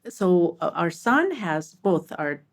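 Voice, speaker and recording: A woman's voice: on the low side, slow pace, vocal fry. Chatty middle-aged woman telling stories. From Armenia. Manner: animated storytelling with many restarts. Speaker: repat parent guest. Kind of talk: casual panel conversation.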